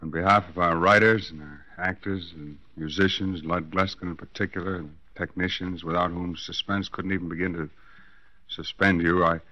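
Deep-voiced man, halting, formal. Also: steady tone, sharp tone. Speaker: radio producer-director. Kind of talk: formal acceptance remarks. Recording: dull 1940s radio recording.

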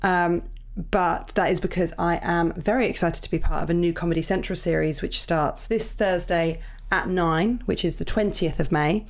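Irish female host, lowish voice, morning croak, chatty and upbeat. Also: fairly even intonation, normal speed. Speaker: podcast host. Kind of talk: casual chat.

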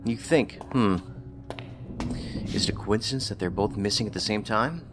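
An actor playing a terse, probing investigator in an audio drama, with music behind him. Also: male voice, on the low side, expressive, moderate pace, lightly acted. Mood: suspicious.

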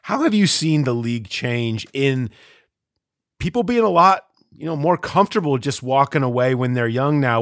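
A man in his forties with a mid-pitched voice in a dry, close recording. He interviews athletes in a casual, conversational way. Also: dry recording. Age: 40s. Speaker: sports interviewer.

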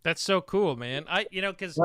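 A man hosting an interview show, asking halting questions with lots of fillers. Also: sits high, lively intonation, bright, forceful tone, clear, resonant tone, no echo, studio quality. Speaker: podcast host. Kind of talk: casual interview.

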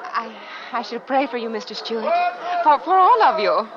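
A muffled, noisy vintage radio recording carries a shy young Victorian lady. Her voice is high and tender, in period radio drama style. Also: big pitch swings, normal speed, over a noisy crowd. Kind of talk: 1940s-50s radio drama acting.

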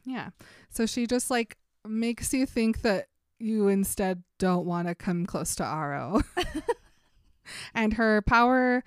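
A young woman co-hosting a podcast, chatting casually as she retells a book's scenes with quick put-on quotes and frequent laughs. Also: medium pitch, lively intonation, smooth, no room sound, clean studio sound. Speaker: podcast co-host. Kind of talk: casual book discussion chat.

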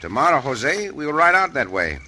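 A confident Mexican gunslinger hero in an old radio western. His voice is very bright and slightly high, with lively rises and falls. He speaks with a Spanish accent and a courtly, unhurried self-assurance. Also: slight background noise, heavily acted. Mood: calm, courteous. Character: Cisco Kid, cool confident Mexican cowboy hero.

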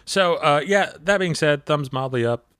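A man of thirty talks wrestling on a podcast in a bright, lively voice whose pitch swings freely. He laughs often and slips into little voices when he quotes people. The sound is close and dry. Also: normal speed, smooth, no echo. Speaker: podcast co-host. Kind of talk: casual wrestling chat.

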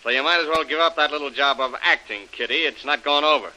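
Sharp-voiced ruthless radio serial villain. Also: male voice, a little high, fast, low-quality recording. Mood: cold, sarcastic. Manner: hard-boiled, sarcastic, blunt slangy talk. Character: ruthless, suspicious male villain, cold and menacing. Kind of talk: 1930s radio serial acting. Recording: vintage 1930s radio recording.

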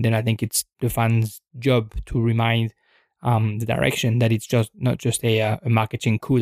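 A young man talks football in an unscripted podcast, pausing often with ums and restarts as he thinks aloud. His voice has a gravelly, creaky edge at a middle pitch, recorded dry and close with no room sound. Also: a little mellow, no echo. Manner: hesitant, many ums and restarts, thoughtful.

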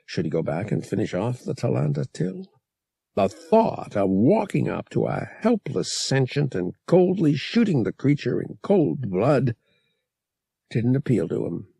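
A man's voice: medium pitch, big pitch swings, normal speed, vocal fry, mellow, husky, no room sound. A man narrating action fiction as a dramatic scripted audiobook read.

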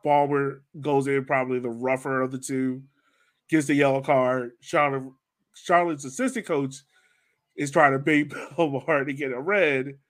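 A man's voice: medium pitch, steady tone, a little slow. A man hosting a sports podcast, talking in an informal, loosely phrased and slangy way. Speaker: podcast host. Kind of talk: casual soccer podcast discussion.

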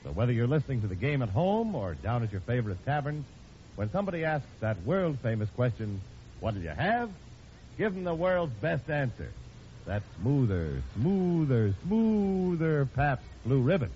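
A man's voice: medium pitch, sing-song, moderate pace, mellow, slight background noise. A man with an American accent working as a radio announcer, reading in a polished 1940s commercial style. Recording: dull old radio recording.

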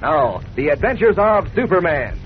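A man's voice: on the high side, very animated, normal speed. A man delivering a 1940s radio advertisement in a chummy, folksy, enthusiastic way. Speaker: sponsor pitchman. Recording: muffled old radio recording, faint noise.

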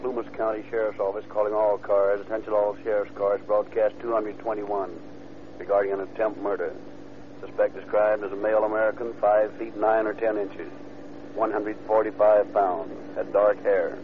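An actor playing a brisk, official police dispatcher reading a clipped, formal bulletin in a 1930s radio drama. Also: male voice, fairly low pitch, close to an everyday voice. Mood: official, urgent. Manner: clipped, formal, flat delivery. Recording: noisy, muffled 1930s radio recording.

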